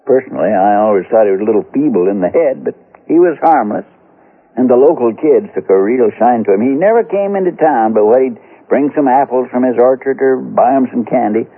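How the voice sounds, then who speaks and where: An actor playing a folksy former frontier sheriff with an American accent, telling a grisly tale in a rustic drawl in a radio western. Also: male voice, medium pitch, animated, brisk, breathy edge. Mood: folksy, grave. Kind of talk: 1970s radio western acting. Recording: muffled, phone-like old radio recording.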